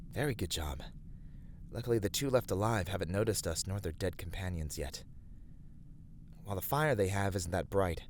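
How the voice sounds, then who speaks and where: A man playing a steady, righteous fantasy adventurer in an ASMR roleplay, speaking to a companion in measured, slightly formal, encouraging words. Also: sits low, slight background noise, natural voice. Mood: calm, trusting. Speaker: voice actor in a roleplay. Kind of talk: scripted ASMR fantasy roleplay addressed to the listener.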